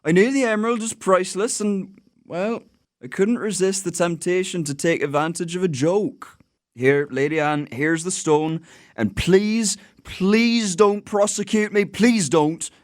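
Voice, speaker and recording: An actor playing an anxious, pleading male mathematician in a period audio mystery, with a halting, overwrought delivery. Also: sits high, no room sound, studio quality. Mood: desperate, pleading.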